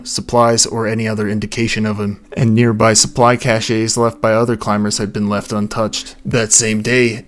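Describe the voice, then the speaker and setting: A young man with a low, soft voice, reading a scripted account of a mystery late at night at home. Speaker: narrator of a mystery channel. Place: home recording space.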